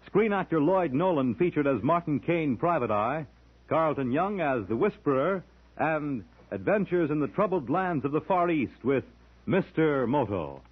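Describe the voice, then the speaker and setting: Animated male announcer, tinny old radio. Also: fairly high pitch, breathy edge. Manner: polished, formal showman delivery. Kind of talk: mid-century radio announcing, promotional read. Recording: tinny vintage radio broadcast.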